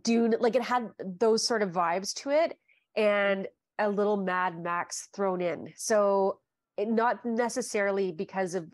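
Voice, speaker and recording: A woman's voice: medium pitch, no room sound. Host, woman in her forties, gushing chatty delivery, dead-dry home call audio. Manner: chatty and gushing, with frequent fillers. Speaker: podcast host. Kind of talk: casual video-call interview. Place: home living room. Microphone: video call.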